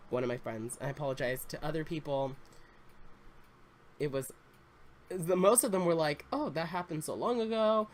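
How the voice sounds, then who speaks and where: A man's voice: a little high, a little hiss or hum. Congested young man rambling casually. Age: 20s. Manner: rambling and confessional, many fillers and restarts. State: congested, slightly raspy. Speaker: Sims YouTuber. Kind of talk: casual story time chat.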